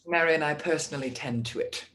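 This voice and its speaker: A woman's voice: sits low, fast talker, fairly bright tone. A woman playing a genteel, over-polite lady of the house in an amateur radio play, with theatrical delivery. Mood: gracious.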